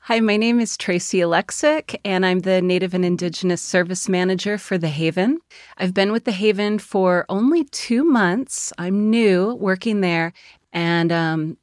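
A woman from Phoenix, Arizona, a service manager, speaking relaxed and conversational in a radio interview. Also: on the low side, measured pace, no echo, clean studio sound.

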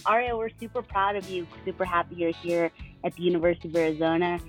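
A woman's voice: on the low side, fairly even intonation, quick pace, soft background music. A woman hosting a radio interview show, upbeat and encouraging with her guest. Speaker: radio host.

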